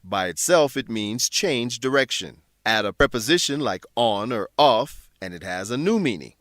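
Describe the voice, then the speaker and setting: A man presents a scripted English lesson in a didactic manner. His mid-pitched voice moves up and down a lot as he stresses key words, and the sound is dry and close. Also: a bit bright, no room sound. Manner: didactic, clearly explaining with emphasized key words. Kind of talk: scripted instructional narration.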